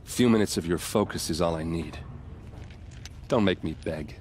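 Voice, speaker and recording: Actor as a deep-voiced, blunt warrior man. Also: moderate pace, darkish tone, slight background noise, lightly acted. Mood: earnest pleading. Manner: wry, blunt, earnest. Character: gruff, wry warrior man, grieving and loyal. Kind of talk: video game voice acting.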